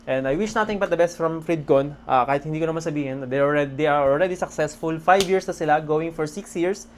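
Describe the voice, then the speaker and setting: A man with a Filipino accent vlogging casually about his day, speaking in an excitable, appreciative way. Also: on the high side, brisk. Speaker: motorcycle vlogger. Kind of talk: casual vlog talk to camera. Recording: noisy background ambience.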